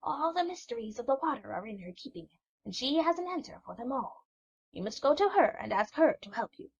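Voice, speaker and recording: A quiet, kindly fisherman's wife gives calm advice, as a woman reads her aloud. The voice is high and even. The recording sounds dull and lo-fi. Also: a little fast, slightly rough, full character voice. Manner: calm, advising. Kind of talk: storybook reading.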